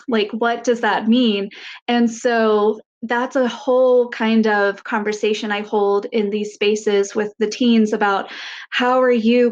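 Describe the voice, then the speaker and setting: A woman sharing earnestly in a group discussion in a halting, reflective way, as a facilitator. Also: a little high, muffled recording. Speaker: workshop facilitator and author.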